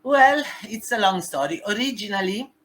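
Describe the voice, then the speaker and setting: A woman with an Italian accent talks as a podcast guest, her voice bright and pushing forward with force. Her English carries a native Italian speaker's phrasing, and she lands her points with emphasis. Faint noise sits under the voice. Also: medium pitch, lively intonation, normal speed. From Italy. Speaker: guest, human rights activist. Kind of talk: podcast interview.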